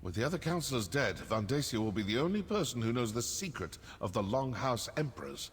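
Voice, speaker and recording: A man voicing a vengeful, sardonic assassin in a fantasy video game, husky, measured and coolly menacing. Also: mid-range voice, sing-song. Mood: cold resolve. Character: vengeful, sardonic male assassin from a dark brotherhood. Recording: long cave-like reverb.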